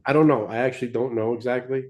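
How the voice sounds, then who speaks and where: A man's voice: mid-range voice, steady tone, quick pace, darkish tone. A man in casual, opinionated podcast conversation, speaking bluntly and informally. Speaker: podcast co-host.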